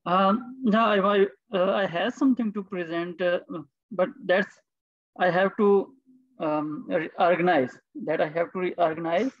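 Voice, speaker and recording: A man from Pakistan speaks haltingly, restarting his sentences as he introduces his research. The sound is muffled and dry, like an online call. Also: high-pitched, fairly even intonation, dry recording. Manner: hesitant, many restarts. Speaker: PhD candidate introducing himself.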